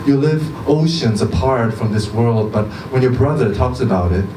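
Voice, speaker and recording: A man giving a measured, deliberate live reading of a short story, with pauses between phrases. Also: medium pitch. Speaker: reader at a literary event. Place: indoor social venue, live event. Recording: distant mic, echoey venue, background hum.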